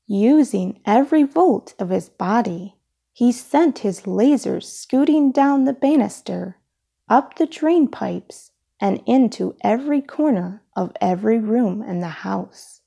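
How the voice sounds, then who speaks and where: Lady reading a kids picture book, slow n expressive, bit husky and mellow. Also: on the high side. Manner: deliberate, expressive storytelling. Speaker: storybook reader. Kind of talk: children's picture book read-aloud.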